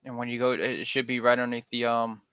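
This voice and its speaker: A man's voice: medium pitch, flat delivery, fast, a bit bright, clear, resonant tone, dry recording. A man from Georgia hosting a casual livestream panel, talking in a rambling, folksy way with lots of fillers. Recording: dull lo-fi dry stream audio.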